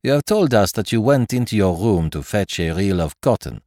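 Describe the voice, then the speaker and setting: A man's voice: on the low side, lively intonation, normal speed, a little mellow, dry recording, clean studio sound. A man voicing a courteous, precise middle-aged detective.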